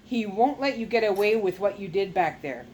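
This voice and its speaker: A man's voice: high voice, lively intonation, normal speed, darkish tone, slight background noise, low-quality recording. A woman voicing an anxious island missionary who warns his friends urgently. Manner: urgent, warning.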